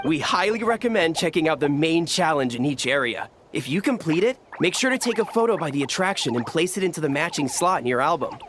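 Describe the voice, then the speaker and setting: A man's voice: fairly high pitch, noisy background. A man playing a polite, helpful resort receptionist in a video game, with formal, courteous customer-service patter. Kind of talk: scripted video game dialogue.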